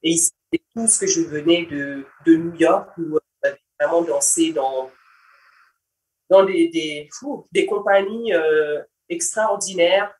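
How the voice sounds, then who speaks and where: A Cape Verdean woman in her thirties, a native Portuguese speaker and samba teacher, telling her story in a relaxed way and slipping in English words. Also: low voice, slow pace. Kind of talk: casual personal account. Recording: voice from far off the mic.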